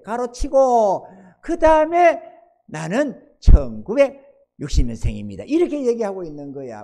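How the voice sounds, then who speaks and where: A man in his sixties preaching a Sunday sermon as pastor, swinging between loud emphatic exhortation and soft asides, and asking the congregation for amens. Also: very high voice. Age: 60s.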